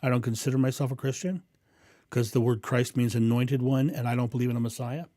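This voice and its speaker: A man with a booming voice hosting a live stream, answering viewers' questions in a rambling, animated way and acting out thoughts. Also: a little fast, dry recording, clean studio sound. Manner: animated, acts out inner thoughts with raised voice; rambling with frequent ums and restarts. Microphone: condenser mic through a mixing board.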